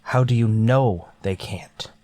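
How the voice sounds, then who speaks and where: An actor playing a grim, authoritative starship captain in a golden age sci-fi radio drama, speaking in a stern, deliberate way. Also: male voice, medium pitch, warm tone, no echo, lightly acted.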